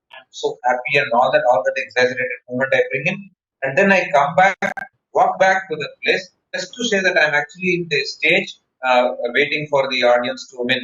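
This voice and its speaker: An Indian-accented man coaches public speaking in an online session. He explains instructively through examples, in a mid-pitched voice. The sound is roomy and somewhat dull, like a web meeting recording. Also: a bit bright. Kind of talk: online workshop, explaining and answering questions. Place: indoor room, online meeting.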